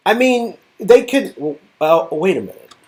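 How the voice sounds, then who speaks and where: Guy co-host reading a story game n chatting about it, husky, unhurried, pitch moves around a lot. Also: lively intonation. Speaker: channel co-host, performer. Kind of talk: scripted story narration and casual reaction chat.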